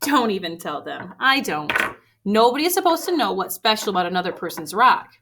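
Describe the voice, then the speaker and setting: A woman reading a picture book aloud to children expressively, with deliberate emphasis on key phrases. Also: medium pitch, sing-song. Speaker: read-aloud storyteller for children. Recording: faint background noise.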